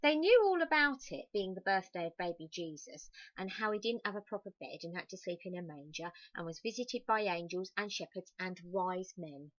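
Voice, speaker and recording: Expressive woman reading to children, slightly muffled. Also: lowish voice, normal speed, few pauses, dry recording. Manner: expressive, with emphasized words and soft confiding asides. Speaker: storyteller reading a picture book to children. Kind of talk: read-aloud children's story with chatty asides.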